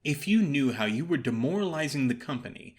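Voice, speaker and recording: A man's voice: on the high side, expressive, brisk, lightly acted. A man voicing a genial stage comedian in an audio drama story, chummy, blunt and needling in manner. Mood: exasperated, mocking.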